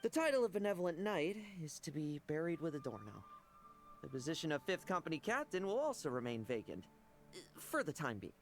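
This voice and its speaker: A man's voice: on the high side, sing-song, brisk, faint music behind. An actor playing a playful, wry, ambitious young male knight with a teasing, casual manner in a video game. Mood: resigned.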